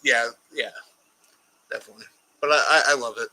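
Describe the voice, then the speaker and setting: Man, live-stream host, bright tense tone, stammering, casual chat. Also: medium pitch, fairly even intonation, fairly quick, rough recording. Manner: stammering, repeats words when starting. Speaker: podcast host reading viewer comments.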